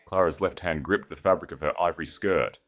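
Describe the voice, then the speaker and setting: A man's voice: low-pitched, a little fast, a bit bright. A man narrating a scripted story in a dramatic storytelling style.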